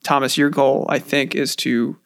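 A man's voice: medium pitch, flat delivery, moderate pace, creaky, no room sound, studio quality. A man co-hosting a casual music podcast, wry and joking. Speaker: podcast co-host. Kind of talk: casual chat.

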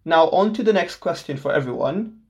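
Young male host from Portugal, slightly higher voice, upbeat and sincere. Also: expressive, fairly quick, darkish tone, slightly rough. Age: 20s. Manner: enthusiastic, earnest. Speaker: podcast host. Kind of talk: scripted podcast introduction.

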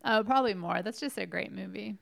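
A woman co-hosting a casual chat, giggly and teasing, answering questions in a relaxed way. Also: mid-range voice, a little fast, creaky.

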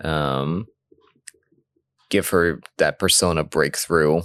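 Very deep-voiced man chatting casually. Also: takes it slowly, fairly bright tone, dry recording. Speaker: podcast co-host. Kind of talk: casual discussion.

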